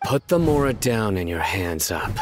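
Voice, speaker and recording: A man's voice: fairly low pitch, sing-song, moderate pace. An actor playing a stern, composed lawman in a video game, speaking seriously and with control. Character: stern, composed young male lawman of few smiles.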